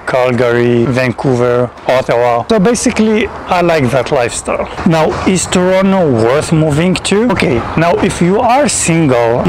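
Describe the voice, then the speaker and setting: A man's voice: mid-range voice, a little hiss or hum, poor audio. A man from Tunisia in his thirties, giving a conversational to-camera explainer outdoors on a lapel mic, speaking English with small grammar slips. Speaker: immigration vlogger. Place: outdoors in a city park.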